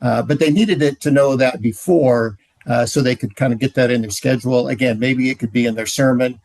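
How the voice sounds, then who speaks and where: A middle-aged man giving a relaxed training talk, telling stories with frequent "uh"s. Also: mid-range voice, fairly quick, dry recording. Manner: relaxed storytelling, many "uh"s. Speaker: ministry trainer.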